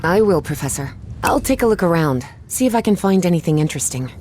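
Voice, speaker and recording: A woman's voice: on the low side, animated, fast talker, darkish tone, a little hiss or hum, natural voice. An actress playing an eager, curious young woman explorer in a video game.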